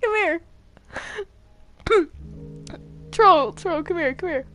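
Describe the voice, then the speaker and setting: A woman with a very high, girlish pitch chats casually as she plays a game with friends. She is giggly and excitable but fairly slow in her talk, and music plays behind her. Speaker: player in a group gaming roleplay series. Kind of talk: casual gaming chat with friends.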